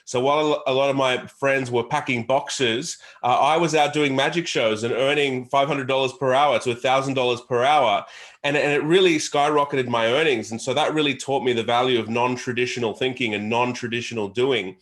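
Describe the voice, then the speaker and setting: A man's voice: medium pitch, fairly even intonation, fairly quick, fairly bright tone. A man with an Australian accent answering interview questions as a guest entrepreneur, in an earnest, emphatic, motivational way with repeated phrases.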